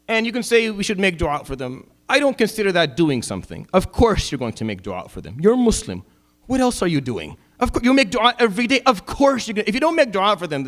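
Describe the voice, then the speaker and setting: Brief: middle-aged man, imam's Friday sermon, rapid delivery, swings from emphatic to wry. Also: fairly bright tone. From Syria. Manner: impassioned, rising to emphatic peaks then dropping low and wry. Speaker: imam.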